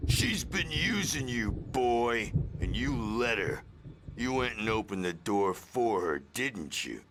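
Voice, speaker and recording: An actor playing a rowdy, belligerent, crazy old rock-and-roll man in a video game, with a gravelly voice and blustering, erratic delivery. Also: medium pitch, expressive, takes it slowly, noisy background. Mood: scolding. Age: older. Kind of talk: video game character acting.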